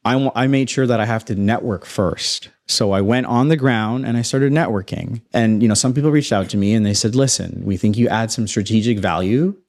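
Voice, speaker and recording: A young man from Toronto with a North American accent, a guest on a casual podcast, telling his story in an animated, self-assured, emphatic way. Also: lowish voice, a little fast, few pauses. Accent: North American English. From Toronto, Canada. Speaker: guest, space company founder.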